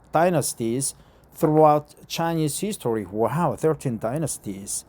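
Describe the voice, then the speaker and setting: A Korean-accented man speaks English slowly and deliberately, reading a travel article aloud and often pausing on "uh". His voice is dark and mellow, and he sits outdoors on a bench in a courtyard. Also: fairly high pitch. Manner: hesitant, frequent uh fillers, careful deliberate reading. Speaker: vlogger. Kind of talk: casual talk and reading aloud.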